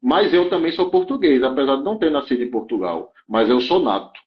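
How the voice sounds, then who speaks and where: A man's voice: mid-range voice, lively intonation, brisk. Man explaining rules, muffled audio. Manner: checks in often with "tá?" and restarts phrases. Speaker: guest explaining German immigration rules. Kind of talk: explanatory interview talk.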